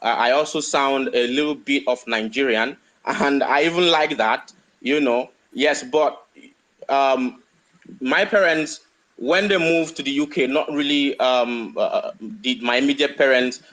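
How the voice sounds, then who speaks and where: A man is a guest in an online discussion and talks about his background. He answers haltingly, with frequent pauses and fillers, at an ordinary middle pitch. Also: a bit bright, rough recording. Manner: hesitant, with many pauses and fillers.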